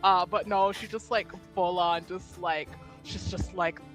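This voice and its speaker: A woman's voice: fairly low pitch, fairly even intonation, unhurried, faint music behind. A woman playing a tabletop game, casually improvising narration of what her character does.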